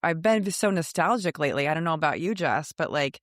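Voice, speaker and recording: A woman's voice: deep voice, lively intonation, a little fast, no echo, clean studio sound. A middle-aged woman co-hosting a podcast, chatting in a casual, reflective, conversational way. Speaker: podcast co-host.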